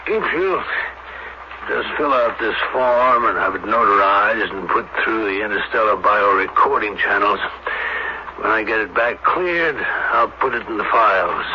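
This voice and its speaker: A male actor plays a wary, commanding doctor on a space colony, with a low voice. He is brusque and irritable, in the style of old-time radio drama. The 1970s broadcast sounds muffled, with clear noise over it. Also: unhurried, close to an everyday voice. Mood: measured, professional.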